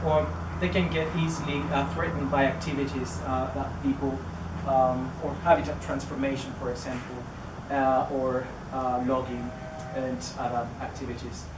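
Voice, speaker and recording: A man in his thirties with a Chilean Spanish accent, a native Spanish speaker, giving a public talk as an orchid researcher, hesitant with many fillers and restarts. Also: on the high side. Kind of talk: public lecture. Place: indoor venue near a greenhouse. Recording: distant roomy recording with noise.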